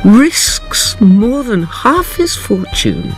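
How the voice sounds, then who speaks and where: An actress playing a grave, knowing storyteller, narrating a radio drama with deliberate, theatrical phrasing. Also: female voice, mid-range voice, huge pitch swings, soft background music, full character voice.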